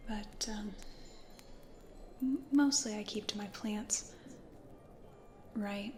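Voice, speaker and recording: Slow whispering American ghost woman, acted by a woman. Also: mid-range voice, faint music behind, close to an everyday voice. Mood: nervous, apologetic. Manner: soft, hesitant, breathy murmuring. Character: gentle, anxious plant-loving woman ghost from the 1800s. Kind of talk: whispered ASMR roleplay. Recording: roomy echo, audible background noise.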